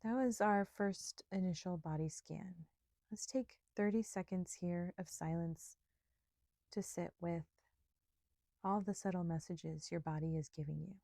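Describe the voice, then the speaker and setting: A woman instructor leading a guided meditation in a gentle, soothing, unhurried manner with pauses. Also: fairly low pitch, steady tone, vocal fry, no room sound.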